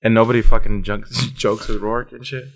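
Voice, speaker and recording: A man's voice: on the low side, little pitch movement, normal speed, creaky. Laid-back young man, slight Mexican-American accent. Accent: Mexican-American English. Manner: profane, laid-back, laughs often. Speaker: guest, longtime friend of the show. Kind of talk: casual podcast banter.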